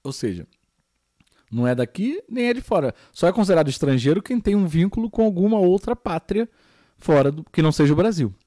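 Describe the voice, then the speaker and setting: A man's voice: high-pitched, very animated, fairly quick, warm tone, no room sound, studio quality. A man with a Brazilian Portuguese accent, teaching a recorded geography lesson and explaining step by step, with many fillers and tag questions.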